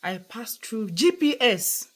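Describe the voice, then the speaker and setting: A woman's voice: fairly high pitch, sing-song, slow. Nigerian-accented woman, young adult, earnest vlogger, emphatic, repeats points. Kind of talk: casual storytelling monologue.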